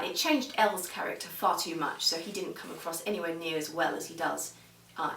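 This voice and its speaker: Female reviewer, measured and conversational, lowish pitch, recorded at a distance from the microphone. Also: a bit bright. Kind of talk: casual video review. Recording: echoey room, distant microphone.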